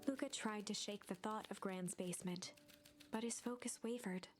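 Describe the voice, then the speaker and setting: A woman narrator reading a scripted storybook game in a measured storytelling voice. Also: mid-range voice. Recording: narration over game music.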